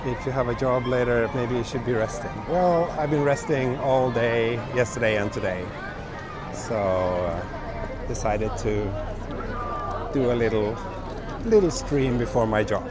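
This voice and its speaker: A man with a hoarse voice from a cold, hosting a relaxed live walking stream and chatting with viewers through a clip-on mic. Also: mid-range voice, unhurried, a crowd in the background. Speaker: livestream host. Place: busy city streets outdoors at night. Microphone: small wireless clip-on mic. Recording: outdoor street noise. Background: city traffic and street noise.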